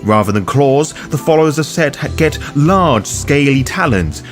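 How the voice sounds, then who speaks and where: A man hosting a scripted video guide, speaking in a theatrical, deliberate way. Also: fairly high pitch, expressive, faint music behind. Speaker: host of a roleplay guide.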